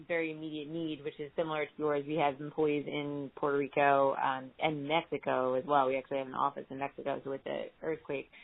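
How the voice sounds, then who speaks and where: Woman, quite low pitch, conversational, wordy, informal work account, thin conference-call sound. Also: vocal fry. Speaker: corporate philanthropy staffer on a call. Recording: muffled, band-limited conference call line.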